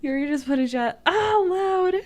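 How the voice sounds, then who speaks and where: A woman's voice: extremely high pitch, lively intonation, unhurried, darkish tone. A woman streamer in casual, giggly, playfully profane chat as she hosts a tarot reading.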